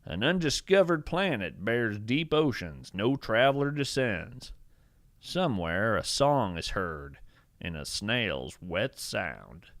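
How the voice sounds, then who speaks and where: A man playing an eccentric, earnest naturalist who hosts a scripted fiction podcast, speaking in a measured, theatrical, thoughtful way. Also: lowish voice, very animated, a bit bright, dry recording, studio quality, exaggerated voice. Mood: hushed, reverent. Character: eccentric male naturalist host, musing, earnest, whimsical. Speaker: podcast host and narrator. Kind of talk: scripted fiction podcast narration with poetry readings.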